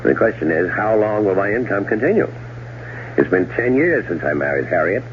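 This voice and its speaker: A suave, cold-blooded schemer in an old radio drama. His voice sits low and moves with sardonic deliberation. The recording is dull and carries a light hiss of noise. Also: animated, normal speed. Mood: smooth, calculating. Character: scheming, cold-blooded older brother plotting his wife's murder. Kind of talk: 1970s radio drama acting and first-person narration.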